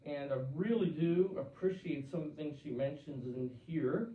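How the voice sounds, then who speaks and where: A man's voice: a little high, normal speed, mellow. An older man, a pastor from Pennsylvania, answering listener letters in a conversational Q&A talk with frequent restarts and fillers. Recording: roomy, distant, dull-sounding.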